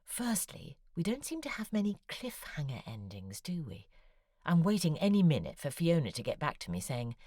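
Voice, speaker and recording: An actress playing a wry, self-deprecating middle-aged novelist in an audio drama, articulate and musing, with a husky, breathy voice. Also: female voice, low-pitched, huge pitch swings, normal speed, warm tone, dry recording, full character voice. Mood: agitated, confiding. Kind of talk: audio drama acting, intimate spoken correspondence.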